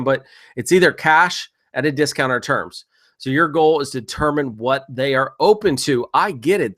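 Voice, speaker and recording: A man's voice: on the high side, fairly bright tone. A man giving an instructional sales training talk in a conversational, explanatory way, speaking straight to the listener with emphasis. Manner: conversational, explanatory, acts out short imagined seller exchanges. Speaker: real estate investing instructor.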